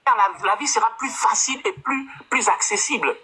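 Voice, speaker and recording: A man's voice: extremely high pitch, animated, moderate pace, sharp tone, slightly rough. A man giving a heated, indignant monologue in a clip from a live stream, repeating himself as he accuses others.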